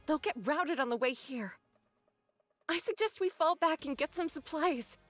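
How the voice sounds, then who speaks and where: Cautious young android soldier, high female voice, earnest and serious, anime game, background music. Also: lively intonation, moderate pace, a bit bright, no echo.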